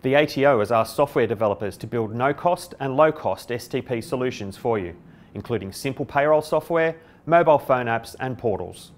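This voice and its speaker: A man's voice: medium pitch, expressive, fairly quick. A middle-aged man with an Australian accent, delivering a scripted explainer to camera as a company presenter. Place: indoor office by a window. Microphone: lapel mic.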